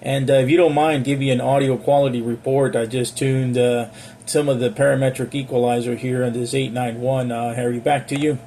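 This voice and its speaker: A man chatting casually over amateur radio as an operator, courteous and measured in his radio procedure. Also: medium pitch, little pitch movement, warm tone. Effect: amateur radio transmission. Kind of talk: casual two-way radio chat. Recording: radio audio with faint hiss.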